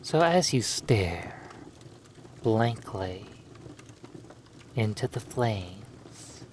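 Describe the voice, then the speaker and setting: A man narrating a hypnosis roleplay in a soothing, lulling manner, leaving long pauses between phrases. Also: fairly low pitch, lively intonation, a little mellow, slight background noise.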